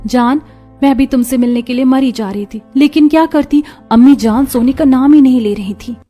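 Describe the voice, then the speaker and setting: A woman's voice: high-pitched, normal speed, warm tone, soft background music. A woman voicing a young woman in a cartoon family story. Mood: lovelorn. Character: young women of a neighbourhood, sweethearts and daughters-in-law. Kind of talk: cartoon voice acting.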